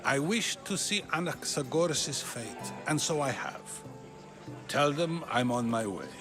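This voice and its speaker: An actor playing a probing, articulate ancient Athenian philosopher in a video game, musing and questioning. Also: male voice, fairly high pitch, expressive, a little slow. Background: game music.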